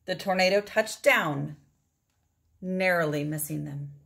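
A middle-aged woman reads a children's storybook aloud, with dramatic phrasing and drawn-out words for emphasis. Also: lowish voice, creaky, a bit bright. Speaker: storybook reader. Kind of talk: expressive read-aloud of a children's picture book.

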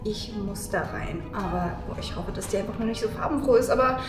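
A young woman playing a gloomy, emotionless teenage girl in a scripted parody, flat and disdainful. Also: mid-range voice, brisk, reverberant, over music, close to an everyday voice. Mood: uneasy. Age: young adult. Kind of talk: scripted web parody acting.

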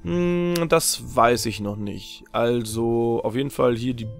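A young man, slightly hoarse, chatting casually and rambling with many fillers as he comments on his own game. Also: mid-range voice, slow, faint music behind. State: slightly hoarse after a long stream. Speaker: Let's Play host.

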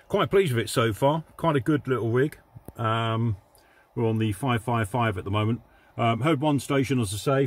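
Male, English accent, relaxed-format vlog chat from a CB radio operator in a car, mid-pitched voice. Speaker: amateur CB radio vlogger. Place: inside a parked vehicle.

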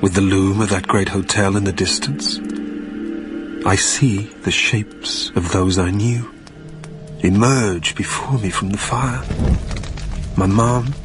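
A man plays a weary, melancholy dead man in an audio drama. His voice is low and he speaks in measured, lyrical, reflective phrases. Music plays clearly behind him. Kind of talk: literary audio drama narration.

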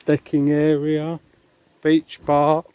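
A man's voice: fairly high pitch, completely flat delivery, slow pace, warm tone, clear, resonant tone, no echo, lo-fi sound. A man chatting casually to viewers as he walks along a beach and past hotels, recorded on a handheld camera outdoors. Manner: rambling, chatty, addresses viewers. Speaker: vlogger. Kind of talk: casual walking vlog. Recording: faint outdoor noise.

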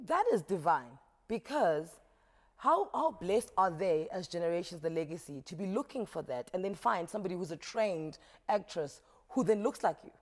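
A woman's voice: on the low side, animated, darkish tone. A woman in her thirties hosting a television talk show, chatty and playful, speaking on a lapel mic in a studio. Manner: playful, chatty, quick laughs. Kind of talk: casual studio interview. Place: tv studio set.

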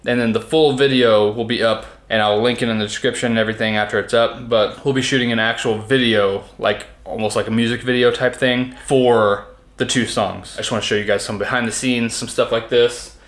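A man's voice: mid-range voice, fairly even intonation, fairly quick, fairly bright tone. Chatty man in an echoey room. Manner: chatty, explains recording tips to viewers. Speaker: home studio recording vlogger. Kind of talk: casual behind-the-scenes vlog. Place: home recording room.

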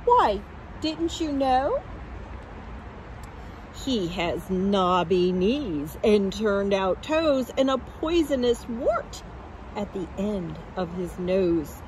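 A woman's voice: medium pitch, sing-song, very slow, darkish tone, a little hiss or hum, low-quality recording. A woman voicing a clever little brown mouse in a storybook reading, cheeky and dramatic.

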